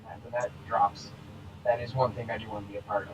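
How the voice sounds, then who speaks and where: A man in his twenties co-hosting a casual podcast, easygoing with quick joking asides. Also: on the low side, little pitch movement, slight background noise, low-quality recording. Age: 20s. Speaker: podcast co-host. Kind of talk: casual chat.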